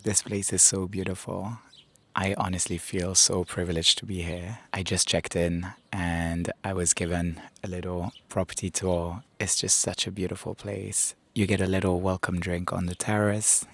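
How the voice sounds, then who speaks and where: A man in his thirties vlogging to a handheld camera with cheerful, chatty enthusiasm, his voice a little hoarse. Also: deep voice, level tone, moderate pace, dry recording. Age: 30s. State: hoarse, recovering voice. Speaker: travel vlogger. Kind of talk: casual vlog to camera. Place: outdoors on a rooftop terrace. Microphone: small handheld camera at arm's length.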